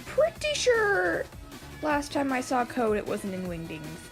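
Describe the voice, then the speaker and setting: Girl putting on confused skeleton guy, way pitched up, music going behind. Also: huge pitch swings, a little slow, heavily acted. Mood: puzzled. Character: jumpy skeleton gang member, puzzled and questioning.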